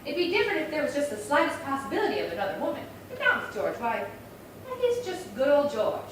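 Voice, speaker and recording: A woman playing a scheming, excitable, dramatic housewife in a 1950s radio comedy, recorded from afar in an echoey hall. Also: sits high, quick pace. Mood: gleeful scheming. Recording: echoey hall, distant mic, faint noise.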